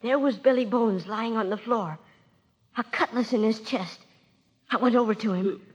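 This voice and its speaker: Young cabin boy, played by a male: high-pitched, deferential, nervous earnestness; clicky vintage storybook record with slight noise. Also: normal speed, lightly acted. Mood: anxious, earnest. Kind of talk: dramatised storybook record acting.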